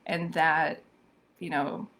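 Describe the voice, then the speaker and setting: Hoarse female host, slow and gravelly, thoughtful live-stream commentary with lots of ums. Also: low voice, flat delivery, fairly bright tone. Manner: thoughtful, many ums and restarts. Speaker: social media show host. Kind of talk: live-streamed commentary on social media news.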